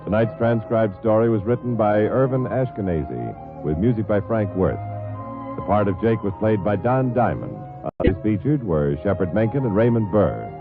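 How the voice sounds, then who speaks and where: A man's voice: fairly low pitch, big pitch swings, normal speed, over music. A man announcing scripted credits in the formal style of 1950s radio. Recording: tinny 1950s radio broadcast recording.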